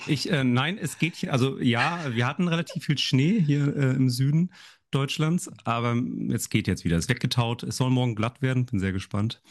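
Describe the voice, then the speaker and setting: A man co-hosting a podcast, his voice still a bit hoarse from the flu, talking hesitantly with many fillers. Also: mid-range voice, normal speed, dry recording. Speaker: podcast co-host. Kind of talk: podcast chat.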